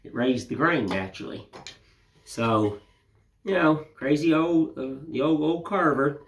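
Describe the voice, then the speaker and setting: Older man, hoarse and raspy from a cold; folksy rambling host, slightly higher voice. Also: measured pace. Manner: rambling, homespun, folksy filler phrases. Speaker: woodcarving channel host. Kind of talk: casual chat to camera.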